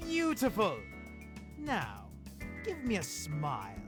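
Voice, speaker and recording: A brisk fashion photographer, commanding and effusive. The mid-range voice swoops dramatically from line to line, with music clearly behind it. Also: medium pitch, huge pitch swings, exaggerated voice. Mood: delighted.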